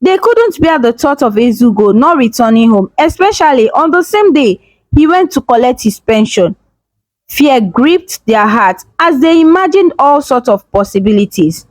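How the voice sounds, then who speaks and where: A woman narrates a dramatic story in a fairly high voice with very animated, swooping intonation. She sounds solemn and suspenseful, like a storyteller reading from a script. The sound is dry and close. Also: normal speed, dry recording, poor audio.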